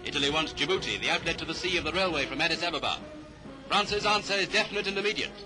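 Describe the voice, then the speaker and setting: A British man narrates a 1930s newsreel in a fairly high voice. His scripted commentary is clipped and formal to the period. It comes from a vintage soundtrack, with music clearly playing behind him. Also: fast talker, low-quality recording.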